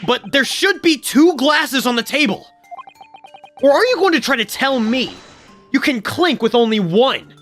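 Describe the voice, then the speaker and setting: A woman's voice: high voice, lively intonation, measured pace, a bit bright, soft background music. A woman voicing an earnest, dramatic young male defense lawyer in a fan dub of a courtroom game. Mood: probing.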